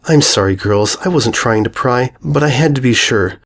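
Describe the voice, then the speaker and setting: A man voicing a kindly, regretful female pony schoolteacher, gentle and measured with a foreboding calm, in a storybook reading. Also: medium pitch. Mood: sad, ominous.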